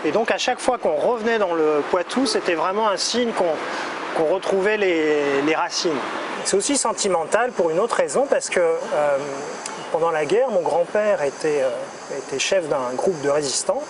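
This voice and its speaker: Forties male guest, unhurried and halting, high-ish voice, noisy street. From France. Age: 40s. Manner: relaxed, hesitant, many "euh" pauses. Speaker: interview guest. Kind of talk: casual street interview. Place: outdoors on a city street. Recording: street noise behind.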